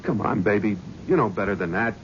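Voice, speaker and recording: Actor as a defensive New York agent, shaken drinker, radio play, lo-fi broadcast sound. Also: male voice, fairly low pitch, brisk, natural voice. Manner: tense, defensive. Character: jittery hard-drinking talent agent, defensive. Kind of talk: 1970s radio drama acting. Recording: dull old radio broadcast with faint hiss.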